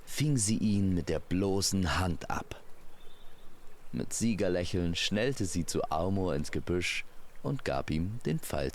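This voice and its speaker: A man narrating a scripted fantasy audio story in a measured, lyrical storytelling manner. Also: low voice.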